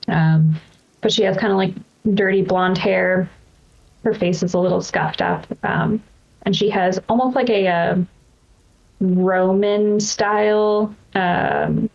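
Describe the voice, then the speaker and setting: A woman's voice: on the low side, creaky, darkish tone, dull audio. A woman playing a tabletop roleplaying game, describing her character casually and a little tentatively.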